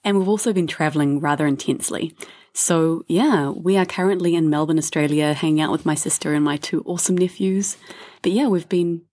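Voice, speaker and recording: A woman's voice: low-pitched, brisk, a little mellow, no echo, clean studio sound. A woman co-hosting a travel podcast, telling stories in a chatty, easygoing way. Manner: chatty storytelling with laughs and fillers. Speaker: podcast co-host. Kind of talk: casual travel chat.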